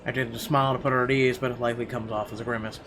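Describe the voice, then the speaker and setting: A man's voice: mid-range voice, little pitch movement, racing. A man reading horror visual novel narration aloud in a theatrical, suspenseful way with emphatic phrasing. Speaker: gaming video host. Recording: music behind the voice. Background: game music.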